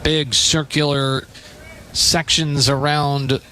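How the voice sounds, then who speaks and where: A man's voice: on the high side, level tone, takes it slowly, bright, forceful tone, a little hiss or hum, rough recording. A man doing live radio play-by-play of a baseball game in short, punchy, descriptive phrases. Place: open-air stadium press perch.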